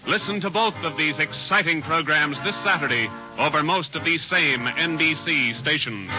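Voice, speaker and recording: A male radio announcer reading a closing summary and promo in formal broadcast style. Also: fairly high pitch. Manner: measured, formal. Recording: muffled old radio broadcast with music.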